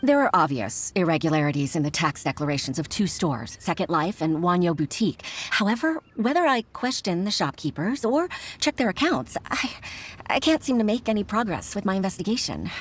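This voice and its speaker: An actress playing an earnest, flustered young junior clerk in a video game, hesitant and deferential in manner. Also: female voice, fairly low pitch, big pitch swings, a little fast, few pauses, breathy edge. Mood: troubled.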